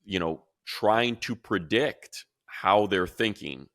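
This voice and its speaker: A man talking football in a casual sports podcast chat from a room at home, rambling with restarts and growing animated when he compares players. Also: fairly low pitch, slow, no echo, clean studio sound. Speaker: radio play-by-play announcer.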